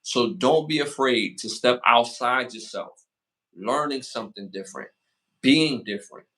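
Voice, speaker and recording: A man in his forties hosting a casual podcast, giving motivational advice and shout-outs, repeating phrases for emphasis. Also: medium pitch, low-quality recording. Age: 40s. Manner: hypes the chat with rapid shout-outs. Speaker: podcast host.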